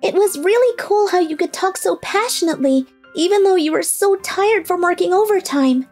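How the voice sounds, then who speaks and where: A woman's voice: very high voice, lively intonation, normal speed, darkish tone. A woman voicing an earnest teenage girl in a dubbed manga story. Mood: shy, earnest. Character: earnest shy teenage high school girl, later playful young bride. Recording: music under the voice.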